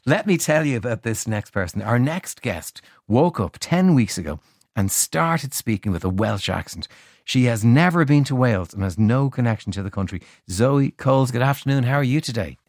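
A man's voice: medium pitch, lively intonation, moderate pace, a little mellow, dry recording, studio quality. A man hosting a radio talk show, friendly and prone to restarting his questions. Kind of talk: radio interview.

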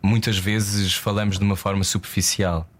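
A man's voice: fairly low pitch, flat delivery, normal speed. A man with a European Portuguese accent, hosting a conversational interview in a thoughtful, tentative way and mixing in English phrases. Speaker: interviewer, host.

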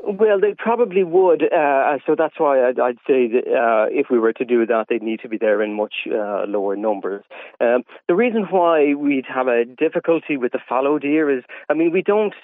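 Irish man, radio guest over a phone line, hesitant and measured, lo-fi dry sound. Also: medium pitch, expressive, no echo. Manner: measured, many hesitant fillers. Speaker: wildlife campaigner, radio guest.

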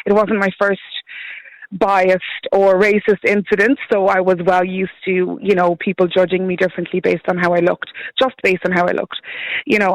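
Earnest woman caller, gravelly and dry. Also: mid-range voice, fairly bright tone, no room sound, low-quality recording. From Netherlands. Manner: earnest, halting with restarts. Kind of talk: radio phone-in discussion.

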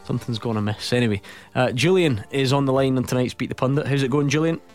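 A man hosting a sports phone-in on the radio, teasing and easygoing. His pitch sits in a middling range, and his delivery stays wry and conversational as he reads out numbers and brings in callers. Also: quick pace, a little mellow, soft background music. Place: radio studio.

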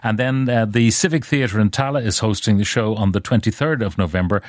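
Low-voiced Irish radio presenter. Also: male voice, fairly quick, no room sound, clean studio sound. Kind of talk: radio interview. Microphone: studio microphone.

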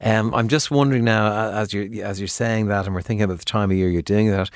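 A man hosting a radio interview, asking questions and giving short, low acknowledgements. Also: fairly even intonation, quick pace, no echo, studio quality. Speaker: radio host.

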